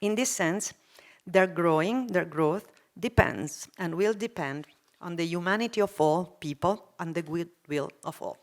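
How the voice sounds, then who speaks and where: A woman speaks from a prepared text at a conference panel, with a low voice. Her delivery is measured and formal. Now and then her phrasing sounds non-native.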